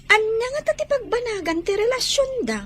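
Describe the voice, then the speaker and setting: Actress as high, animated, excitable village woman. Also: brisk. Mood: gossipy amused. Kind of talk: Ilocano audio comedy drama acting.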